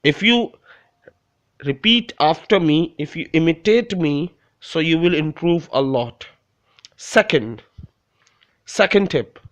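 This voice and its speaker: Man teaching Urdu phrases slowly. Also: on the high side. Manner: repeats phrases slowly for learners to copy. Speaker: language teacher. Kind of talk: slow drilled language lesson. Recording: dull lo-fi recording.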